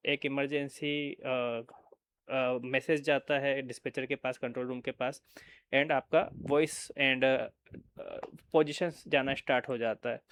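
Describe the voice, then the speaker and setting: A man's voice: a little high, fairly bright tone, smooth. A man with an Indian accent giving an informal product walkthrough, explaining and often checking in with listeners. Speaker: product presenter.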